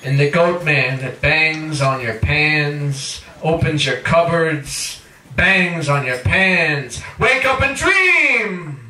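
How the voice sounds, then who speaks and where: A man reading his own poetry aloud in a theatrical spoken-word style, with dramatic chanting, drawn-out phrases and cries. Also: fairly high pitch, lively intonation, sharp tone. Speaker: poet reading own work. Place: indoor live reading at a cafe. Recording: distant mic in echoey room.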